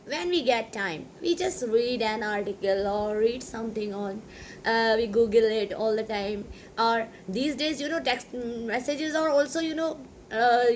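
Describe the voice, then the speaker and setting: A woman's voice: on the high side, a little hiss or hum, rough recording. A woman from Pakistan with a Sindhi-Pakistani English accent, a native Sindhi speaker, talking casually and rambling with lots of "you know" and emphatic asides. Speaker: English teacher. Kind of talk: casual talk to camera.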